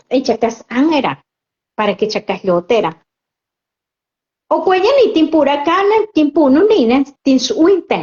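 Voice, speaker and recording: Slow, earnest Chatino-speaking woman preacher. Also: sits high, a little mellow. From Oaxaca, Mexico. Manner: unhurried, earnest, chats with viewers between preaching. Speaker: lay preacher live-streaming. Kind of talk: live-stream Bible reflection reading viewer comments. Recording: muffled live-stream audio.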